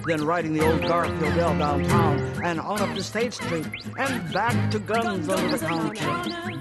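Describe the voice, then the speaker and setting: An older woman with a Midwestern elocution-class accent recites in a commanding voice, every word crisply placed. Her voice sits fairly low. A loud rock band plays under her. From Davenport, US Midwest. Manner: commanding, crisp precise diction. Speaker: grandmother speaking on a song. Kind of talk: spoken-word recitation over music. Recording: spoken word over loud band music.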